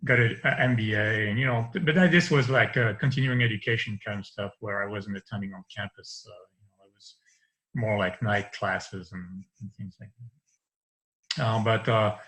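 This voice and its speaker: Man, 50s, light French accent, bright edgy tone, loose rambling video-call guest. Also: on the low side, normal speed, low-quality recording. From France. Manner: rambling, restarts often, light laughs. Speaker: interview guest. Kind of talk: casual conversation over video call. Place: home study with bookshelves. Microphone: video call webcam.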